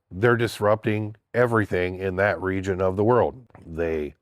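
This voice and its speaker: A man's voice: fairly low pitch, no echo, clean studio sound. An older man with a stuffy, congested voice from a cold, giving a blunt, indignant opinion monologue in a casual vlog. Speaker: political vlogger.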